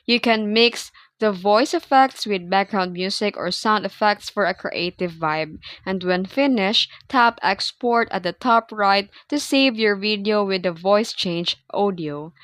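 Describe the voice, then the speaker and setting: A woman reads a scripted how-to tutorial at a slow, deliberate pace. Her voice sits at a medium pitch, and she explains each step in a clear, instructional way. The sound is very dry, with no room echo. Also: fairly bright tone. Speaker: tutorial presenter.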